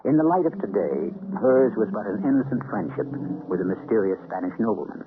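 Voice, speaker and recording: A man hosting and narrating an old radio drama as a grave, knowing storyteller with theatrical, deliberate phrasing. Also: fairly low pitch, animated, noisy background, natural voice. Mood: grave, dramatic. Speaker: host and narrator. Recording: muffled, tinny old radio recording. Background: music.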